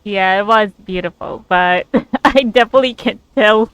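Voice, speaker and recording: A woman's voice: medium pitch, normal speed, fairly bright tone, clear, resonant tone, no room sound. A woman giving casual commentary while she plays a video game. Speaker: game streamer.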